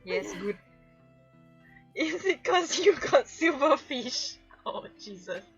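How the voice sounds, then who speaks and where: A woman hosting a live fanfic stream, chatting casually in a giggly, excitable, dramatic way. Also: high voice, slow, dull audio, music in the background. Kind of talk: casual livestream banter.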